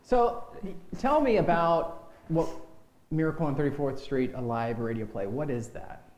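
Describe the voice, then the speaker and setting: A man hosting a chat show, speaking in a polite, measured way. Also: a little high, animated, darkish tone. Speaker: interview host. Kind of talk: hosted studio chat. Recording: echoey room.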